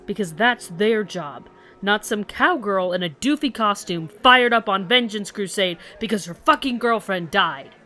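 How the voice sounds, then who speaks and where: A woman's voice: mid-range voice, animated, a little slow, pushed and bright, soft background music. A woman playing an angry, foul-mouthed police detective in an audio drama, blunt and sarcastic. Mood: indignant, sarcastic.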